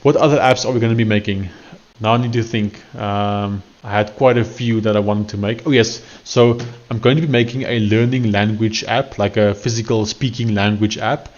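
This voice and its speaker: A man with a fairly low voice and a clear Afrikaans accent, chatting to his live-stream audience as he works. He wanders from point to point and corrects himself often. The audio is a bit muffled and lo-fi, like a home stream setup. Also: steady tone, moderate pace, darkish tone. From South Africa. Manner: rambling, self-correcting, talks while working on screen. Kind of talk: casual live-stream coding commentary.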